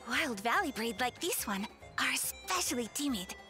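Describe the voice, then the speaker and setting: A woman's voice: fairly high pitch, big pitch swings, normal speed, pushed and bright, faint music behind. A woman voicing a small, perky merchant creature in a video game, chirpy and playful. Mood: informative. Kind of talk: video game cutscene acting.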